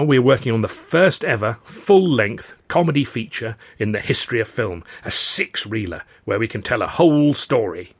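A vain film comedian shows off his success, as a male narrator plays him. He is boastful and theatrical, pushing his words with emphasis, and pitched a little above the storyteller in a close, dry audiobook recording. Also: no room sound.

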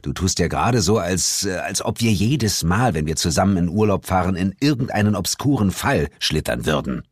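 A man's voice: deep voice, very animated, normal speed, hardly any pauses, slightly rough, no room sound, clean studio sound, heavily acted. A man playing a self-assured, sarcastic male ghost hunter with a cajoling, defensive manner in an audiobook. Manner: sarcastic, cajoling, growling at times.

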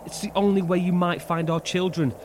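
An actor playing an ailing, desperate husband and father in a horror audio drama, speaking tenderly and urgently in halting phrases. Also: high voice, level tone, background noise, close to an everyday voice.

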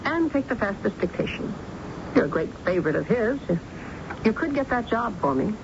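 A woman's voice: on the high side, very animated, moderate pace. An actress with an American accent playing a hard-bitten, ambitious working mother and deserted wife in an old-time radio drama. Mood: hard, determined. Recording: dull, very noisy old radio broadcast.

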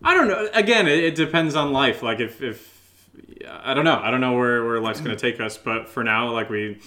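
A man's voice: medium pitch, normal speed, sharp tone. A man co-hosting a podcast in a casual, unscripted chat, informal and hesitant, peppering sentences with "like". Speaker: podcast co-host.

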